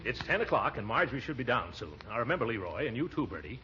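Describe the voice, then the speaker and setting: Blustery uncle, wildly swooping voice. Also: male voice, medium pitch, big pitch swings, fast, pushed and bright, faint music behind. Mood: scheming, worried. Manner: blustering, muttering, sputtering with chuckles. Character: blustery, flustered, pompous middle-aged uncle. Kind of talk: 1940s radio sitcom acting. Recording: muffled, very noisy 1940s radio recording.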